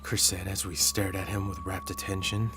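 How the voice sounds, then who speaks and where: A man narrating a scripted first-person horror story in a measured storyteller's delivery. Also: low-pitched, level tone, a bit bright, faint music behind.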